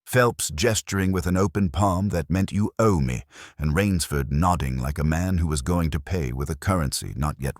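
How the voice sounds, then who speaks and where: A man's voice: extremely low pitch, no room sound, studio quality. A man reading a first-person detective story as a scripted narration, with a wry, hardboiled delivery. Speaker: audiobook narrator.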